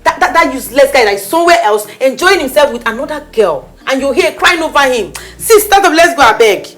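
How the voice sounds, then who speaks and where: A woman's voice: mid-range voice, very animated, measured pace, breathy edge, faint music behind, heavily acted. A woman with a Nigerian accent playing a pushy, caring friend who coaxes and pleads with emphasis. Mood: insistent. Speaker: consoling friend.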